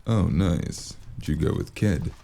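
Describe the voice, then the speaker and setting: Very deep, gravelly noir detective played by a man. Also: a little slow, slight background noise. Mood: dry, laconic. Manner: laconic, deadpan. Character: weary wounded noir detective, gruff, dry-witted, narrating his case. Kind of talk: noir audio drama narration and dialogue.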